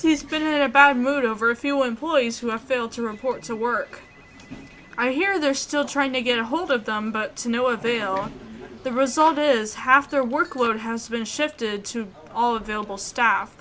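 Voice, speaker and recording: A woman hosting a let's play, reading a visual novel aloud in an expressive way. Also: a little high.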